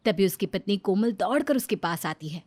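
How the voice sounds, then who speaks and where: A woman narrating a cartoon moral story in a steady, plain storytelling voice. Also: medium pitch, fairly quick, no room sound, studio quality.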